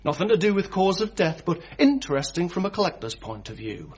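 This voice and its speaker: An actor playing an odd, morbid coroner who relishes death, in a radio comedy drama. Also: male voice, high-pitched, big pitch swings, darkish tone, husky, lo-fi sound, full character voice. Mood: confiding, relishing. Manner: pedantic, deliberate, eerily relishing. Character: odd, morbid male coroner, ghoulishly delighted by the dead.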